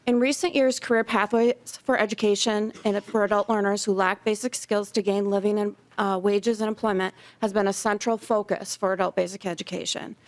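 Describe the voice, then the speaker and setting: A woman's voice: medium pitch, steady tone, a little fast, hardly any pauses. A middle-aged woman, a community education director, reading a prepared statement in support of a bill at a legislative hearing. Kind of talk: prepared public testimony. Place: legislative committee hearing room.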